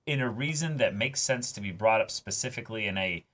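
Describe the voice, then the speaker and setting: A man's voice: lowish voice, expressive, fairly quick, a bit bright. A man hosting a reaction show, discussing an episode casually and earnestly, often searching for his words.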